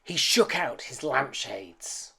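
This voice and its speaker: Slow, animated man reading a storybook. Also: mid-range voice, gravelly, slightly rough. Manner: dramatic, expressive, with hushed suspenseful dips. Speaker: children's story reader. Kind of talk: animated picture book read-aloud.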